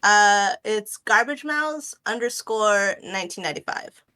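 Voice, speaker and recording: A woman's voice: mid-range voice, animated, unhurried, bright, forceful tone, clean tone. A woman in her late twenties, a guest artist chatting casually on a stream in a rambling way, full of fillers and restarts. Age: 20s. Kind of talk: casual chat.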